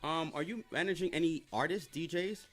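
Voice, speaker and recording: A man hosting a casual interview, relaxed and laughing often as he asks short questions. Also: fairly high pitch, a little fast, loud music behind the voice. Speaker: interviewer host.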